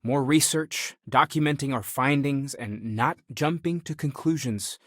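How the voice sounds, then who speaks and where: A man's voice: medium pitch, measured pace, a bit bright, no room sound, lightly acted. Video game role, male actor: earnest man of faith exploring space. Mid-range voice, sincere and reflective, natural conversational delivery, very dry sound. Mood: earnest, focused. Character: earnest, devout young male space explorer, thoughtful seeker.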